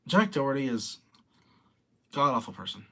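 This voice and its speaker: A man's voice: medium pitch, very animated, normal speed, creaky, bright, forceful tone. A young man in his late teens, casually rambling through commentary and reading viewer comments aloud. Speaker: streamer reading chat.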